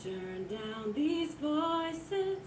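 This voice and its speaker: A woman singing a slow ballad unaccompanied, heard from a video played back in a room. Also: high-pitched, big pitch swings, roomy sound, over music.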